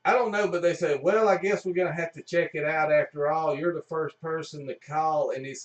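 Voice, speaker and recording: A man's voice: fairly high pitch, fairly even intonation, brisk, far from the mic, highs cut off. A man hosting a live stream with casual, jokey banter and storytelling.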